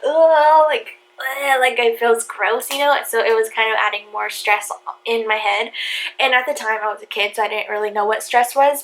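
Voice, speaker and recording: A quiet, soft-spoken young woman chatting to her camera about her past. Her voice sits a little high, and she talks in a loose, rambling way, stacking up fillers. She laughs lightly between phrases. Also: poor audio. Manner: filler-heavy, lots of "like" and "you know". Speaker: vlogger. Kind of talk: casual storytelling to camera.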